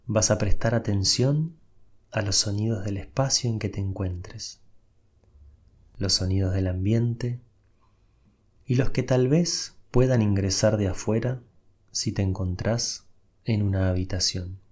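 A man leading a guided meditation, giving soft, unhurried instructions with long pauses. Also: fairly low pitch.

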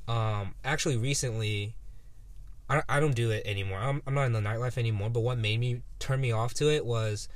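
A man's voice: medium pitch, a little fast, dry recording. A man in his twenties talking casually as a guest, laid-back and rambling with lots of fillers and restarts. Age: 20s. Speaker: guest photographer. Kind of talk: casual podcast chat.

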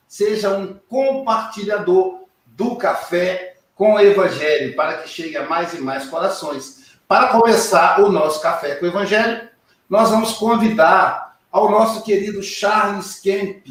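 A man hosting a live online show, warmly and effusively introducing his guests. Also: high voice. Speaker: host. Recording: very echoey, distant from mic.

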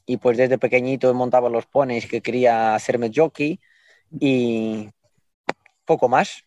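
Male interview guest, Portuguese accent, mid-pitched, casual and halting, recorded dry. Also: darkish tone, no room sound. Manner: halting, repeats words. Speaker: horse racing guest.

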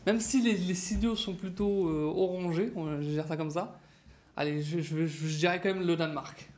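Higher-voiced man giving careful football takes. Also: steady tone, normal speed, darkish tone. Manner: thoughtful, hedging analysis. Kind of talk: casual football podcast chat.

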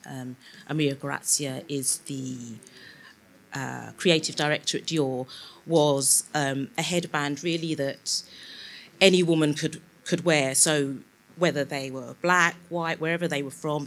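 Woman designer presenting with fillers. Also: low voice. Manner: hesitant, with fillers. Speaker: designer presenting her work. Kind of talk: live presentation.

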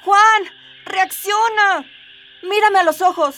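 High-voiced, urgent rebel heroine. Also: female voice, slow, sharp tone, heavily acted. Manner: dramatic, emphatic. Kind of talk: melodramatic radio drama acting. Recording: music and crowd noise behind.

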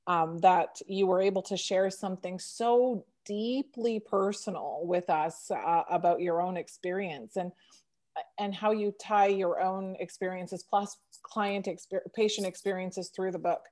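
A woman's voice: mid-range voice, darkish tone. Effusive woman interview host. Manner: enthusiastic, effusive, halting restarts. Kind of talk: interview conversation.

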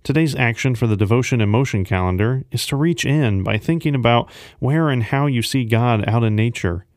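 A man's voice: medium pitch, animated, brisk, no room sound, studio quality. A man serving as host of a devotional podcast, reading a scripted announcement.

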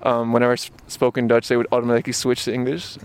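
A man's voice: mid-range voice, completely flat delivery, racing. A man in his twenties, born in Japan and raised in Luxembourg and Barcelona, chatting casually as an interview guest outdoors in a park, with lots of fillers and restarts. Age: 20s. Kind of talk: casual conversation.

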